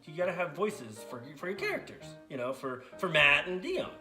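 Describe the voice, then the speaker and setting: A man speaking casually and a little haltingly as a sidekick on a children's puppet show, making up stories. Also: on the high side, wildly swooping pitch. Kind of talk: casual improvised storytelling for kids. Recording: roomy echo, faint music behind.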